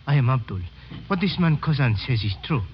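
A man's voice: medium pitch, lively intonation, fairly quick, lightly acted. An actor playing a polite, formal and eager male witness in an old radio drama. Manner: formal, deferential, careful. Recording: dull, noisy old radio recording.

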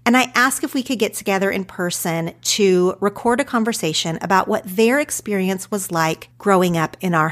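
Earnest podcast host with an Oklahoma accent. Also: female voice, mid-range voice. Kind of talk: podcast intro then conversation.

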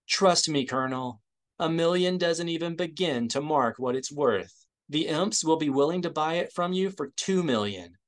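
Brash, wry woman deal-maker for an audiobook, breezy and shrewd, higher-voiced played by a male reader. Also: animated, normal speed, natural voice. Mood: cocky, wry. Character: brash, shrewd woman hustler striking a hard bargain.

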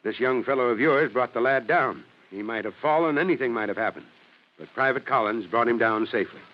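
A man's voice: medium pitch, animated, lightly acted. Kindly American fire chief played by a man, muffled recording. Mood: warm, proud. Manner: reassuring, folksy, measured authority. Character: kindly, steady fire chief, paternal pal. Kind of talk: old-time American radio drama acting. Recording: muffled, hissy old radio recording.

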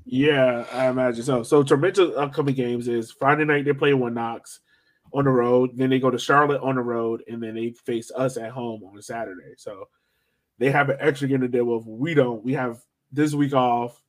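A man with a mid-pitched voice hosts a sports podcast. He talks in a casual, slangy, conversational way, working through his thoughts as he goes. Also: fairly even intonation, normal speed. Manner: conversational, slangy, thinking aloud. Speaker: soccer podcast host.

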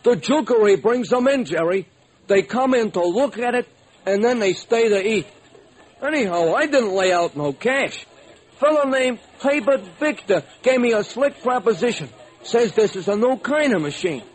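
High-pitched eager, gullible radio sidekick. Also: male voice, normal speed. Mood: proud, cheerful. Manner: folksy, excitable, uneducated slangy speech. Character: eager, gullible, good-hearted ex-burglar diner owner, male. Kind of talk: old-time radio comic sidekick acting. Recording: slightly noisy old radio recording.

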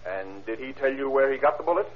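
A man's voice: mid-range voice, expressive, close to an everyday voice. Methodical prosecutor played by a man, muffled old radio. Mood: formal, probing. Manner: crisp, formal, deliberate questioning. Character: formal, methodical prosecutor questioning witnesses in court. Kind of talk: 1930s radio acting.

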